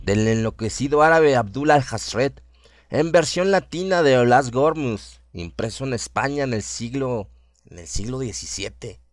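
A man narrates a horror tale as a radio drama. His voice sits at a middle pitch, and he delivers the literary prose in a measured storyteller's way. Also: animated, dry recording.

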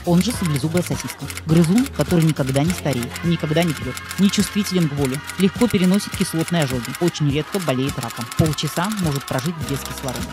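Woman narrator, brisk pace, scripted mock-science introductions, music clearly behind. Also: low voice.

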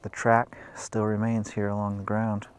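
Deep-voiced man exploring an old hangar. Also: normal speed, clean tone. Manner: relaxed, pointing things out as he walks. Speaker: travel vlogger. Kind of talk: casual exploring commentary. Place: outdoors at an old hangar site in snow.

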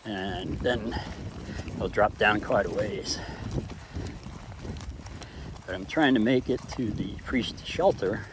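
A man's voice: lowish voice, takes it slowly, pauses often, background noise. Man talking while hiking a foggy trail. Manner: plainspoken, dry humor, thinking aloud. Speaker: thru-hiker vlogger. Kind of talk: casual vlog narration while hiking. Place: foggy forest trail outdoors. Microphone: body-mounted camera.

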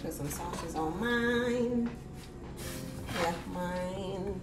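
A woman's voice: lowish voice, extremely slow, recorded from a distance. A woman hosting a home cooking vlog, chatting casually and laughing as she cooks and talks to viewers. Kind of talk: casual kitchen chat. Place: home kitchen. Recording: roomy kitchen sound from off-mic, music over it.

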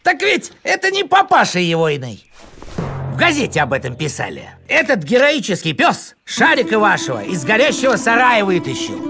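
A man's voice: sits high, huge pitch swings, pushed and bright, heavily acted. A man voicing a fussy, cowardly older village postman in a cartoon, with rustic, folksy speech. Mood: gossipy. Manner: rustic folksy speech, stammering, drawn-out words. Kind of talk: animated cartoon acting. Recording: background music under the voice.

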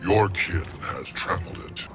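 A man playing a hulking, solemn swamp monster who guards the Earth in a video game, with a deep gravelly voice. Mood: stern. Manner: slow, solemn, stern pronouncements. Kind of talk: video game character acting. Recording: music under the voice.

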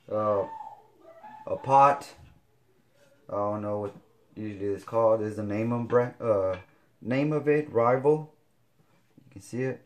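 A man, showing kitchen gear in a casual home video, talking haltingly with many fillers. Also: mid-range voice. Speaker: fitness vlogger. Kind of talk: casual product demo. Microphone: camera on counter.

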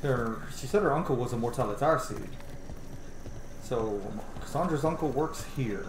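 A man's voice: mid-range voice, normal speed, noisy background. A man, giving casual, enthusiastic, sweary commentary while streaming a game. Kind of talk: casual let's play commentary. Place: small room at home, indoors. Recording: roomy home mic over game music.

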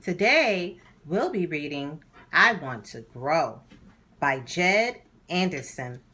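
A woman hosting a children's read-aloud, narrating a storybook in a deliberate, animated way for young listeners. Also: sits low, slightly rough.

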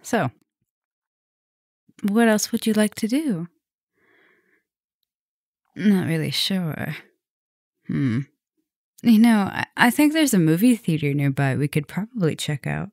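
A woman acts out a female vampire professor in an intimate ASMR roleplay, flirtatious and gently dominant. Her voice is low with plenty of creak, recorded very dry and close. She teases slowly and can slip into a whisper. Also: very animated, no echo, heavily acted. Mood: playful, inviting. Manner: intimate, teasing, drops into whispers. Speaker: roleplay audio performer.

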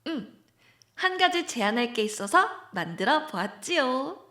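An actress playing a gentle, hesitant young woman who is a senior colleague about to leave her job, in an amateur audio drama. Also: high-pitched, big pitch swings, measured pace, heavily acted. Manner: soft, hesitant, friendly.

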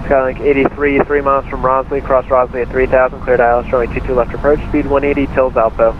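A man is directing aircraft as a controller over a narrow, muffled radio channel. His phrasing is clipped and procedural, delivered quickly, with a steady drone of noise behind it. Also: medium pitch. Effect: radio transmission. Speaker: air traffic controller. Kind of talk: radio air traffic instructions.

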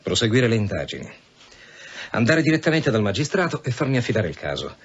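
An actor in an Italian radio drama playing a principled, stubborn police inspector, speaking formally, pointedly and insistently. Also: male voice, mid-range voice, very animated, a little fast, husky, faint music behind. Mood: defiant. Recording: dull, noisy radio drama recording.

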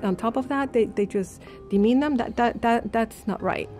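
A woman's voice: on the high side, moderate pace, darkish tone, faint music behind. A woman speaking in a heartfelt way during an interview, often restarting her sentences. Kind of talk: interview answers.

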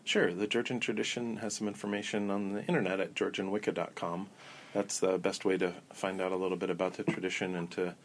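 A man answering interview questions in a measured way, pausing often with ums and uhs. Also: deep voice, a little hiss or hum. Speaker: interview guest on a pagan tradition. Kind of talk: thoughtful casual interview answers.

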